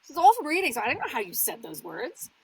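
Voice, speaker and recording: A woman from Idaho who narrates audiobooks chats as a podcast guest. Her fairly high voice swings widely in pitch as she plays with words. Her delivery stays loose and teasing. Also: fast talker. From Idaho, USA. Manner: playful, lively storytelling. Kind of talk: casual podcast chat.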